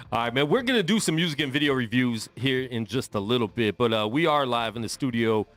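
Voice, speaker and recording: A man's voice: mid-range voice, lively intonation, normal speed, a bit bright, faint music behind. A man in his forties hosting a radio show, chatting casually in the studio and laughing often. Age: 40s. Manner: laid-back, laughs often.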